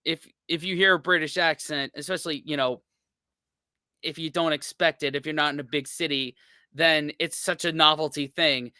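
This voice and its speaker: A man's voice: fairly high pitch, fairly even intonation, moderate pace, sharp tone, smooth, dry recording, clean studio sound. A man hosting a reaction video, talking casually and rambling with lots of fillers. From United States. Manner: rambling, filler-heavy, laid-back. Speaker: reaction channel host.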